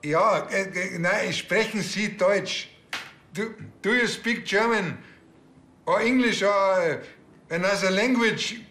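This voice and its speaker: A man's voice: high voice, slow pace, bright, forceful tone, a little hiss or hum, full character voice. An actor with a Bavarian accent playing a blunt, touchy, bumbling middle-aged policeman in a TV crime comedy. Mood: indignant, flustered. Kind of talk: naturalistic TV comedy-crime acting. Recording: roomy sound, faint music behind.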